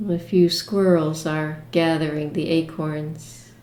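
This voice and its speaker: A woman leading a guided meditation with imagery, speaking in a gentle, soothing manner with long pauses. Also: low voice, little pitch movement, echoey room, a little hiss or hum.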